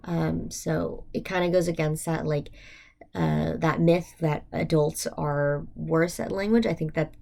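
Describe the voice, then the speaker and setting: A woman's voice: sits low, steady tone, unhurried, creaky, warm tone. A young adult woman with an American accent, talking informally and reflectively as a student, thinking aloud with frequent hesitations and "like" fillers. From United States. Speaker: university student. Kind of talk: informal reflective monologue for a class.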